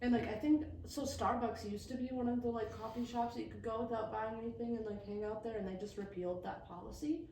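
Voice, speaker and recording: A woman's voice: on the high side, steady tone, quick pace, vocal fry, few pauses, mellow. A young American woman from small-town Wisconsin, chatting candidly and casually as a podcast host, with some swearing. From small-town Wisconsin, USA. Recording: roomy, distant mic, faint background noise.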